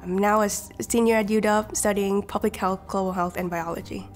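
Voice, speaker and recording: A woman's voice: mid-range voice, fairly even intonation, brisk. A woman in her twenties from Vietnam, a native Vietnamese speaker, talking casually in a documentary interview, with lots of likes and ums. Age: 20s. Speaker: scholarship recipient. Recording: light music behind.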